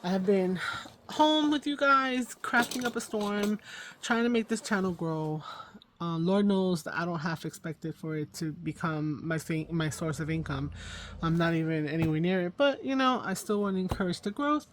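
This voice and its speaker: A middle-aged woman hosting a crafting vlog in a hoarse voice, chatting casually with lots of ums and self-corrections. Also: lowish voice, moderate pace, slight background noise. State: hoarse, losing her voice. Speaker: craft channel host.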